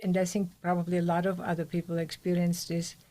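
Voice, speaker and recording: Lower-pitched woman with an Austrian accent. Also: completely flat delivery, fast talker, dark tone. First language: German. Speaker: guest, meditation teacher. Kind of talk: conversational interview.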